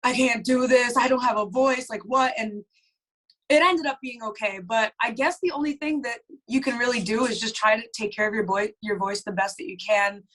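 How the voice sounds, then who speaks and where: A woman's voice: a little high, steady tone, normal speed, fairly bright tone, poor audio. A young woman, a band's lead singer, chatting casually in an interview with lots of fillers. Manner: chatty, many "like" fillers, laughs easily.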